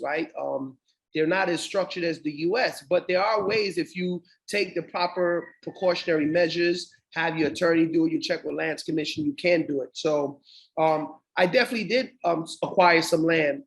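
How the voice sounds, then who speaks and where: High-voiced Guyanese man, animated. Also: poor audio. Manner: animated storytelling, acts out quoted dialogue. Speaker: podcast guest, entrepreneur. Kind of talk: casual interview talk.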